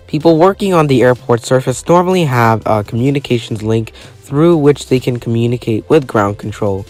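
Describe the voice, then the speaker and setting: A man's voice: medium pitch, a little mellow. A man reading a scripted educational narration in a formal, matter-of-fact manner, occasionally stumbling over a word. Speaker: narrator of an explainer video. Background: music.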